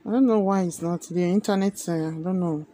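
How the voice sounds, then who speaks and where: A man's voice: sits high, fairly quick, dark tone. A man with a Nigerian accent giving earnest opinionated commentary, repeating himself with many fillers. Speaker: video commentator.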